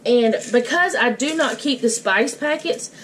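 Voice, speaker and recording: A woman's voice: on the high side, lively intonation, unhurried, fairly bright tone. A middle-aged woman talks casually through her cooking steps in a home kitchen, rambling informally and thinking aloud. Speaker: home cook and vlogger. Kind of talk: casual cook-along chat, narrating her own steps. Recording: clear background noise, home camera mic.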